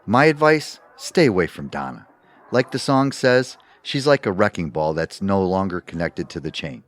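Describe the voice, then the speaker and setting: A man's voice: sits low, expressive, normal speed. A man playing a blunt, wry, gossipy government clerk in an audio comedy, matter-of-fact in manner. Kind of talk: audio theatre comedy acting.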